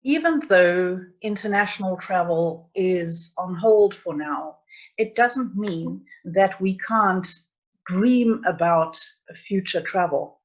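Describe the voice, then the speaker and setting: A woman's voice: on the low side, slow. A middle-aged woman with a South African accent, hosting a travel webinar and reading viewers' chat messages aloud. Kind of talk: presenting a live travel webinar. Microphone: computer mic on a video call. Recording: dull lo-fi webinar audio.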